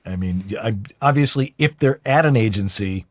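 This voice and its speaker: Animated male host, close dry sound. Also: on the low side, moderate pace, no room sound. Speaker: talk show host, interviewer. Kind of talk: casual conversational interviewing.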